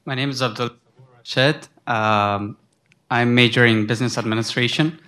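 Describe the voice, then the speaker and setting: A man's voice: medium pitch, steady tone, normal speed, sharp tone. A young man from Afghanistan, a student on a panel, telling a long rambling story full of restarts. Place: college library.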